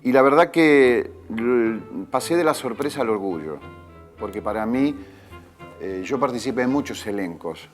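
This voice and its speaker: A man's voice: medium pitch, lively intonation, moderate pace, soft background music. A male actor speaking earnestly in an interview about his cast.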